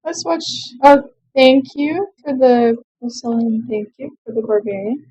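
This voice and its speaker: A young woman casually commenting on her own strategy game play, chatty and quick to laugh at herself. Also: a little high, dark tone, breathy edge, dry recording, dull audio. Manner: chatty, self-deprecating, laughs often. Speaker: let's play gamer.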